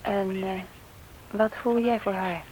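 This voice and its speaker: A woman playing a brisk, caring wife and former nurse in a radio play. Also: mid-range voice, steady tone, darkish tone, close to an everyday voice. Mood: caring, calm. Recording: noisy old radio play recording.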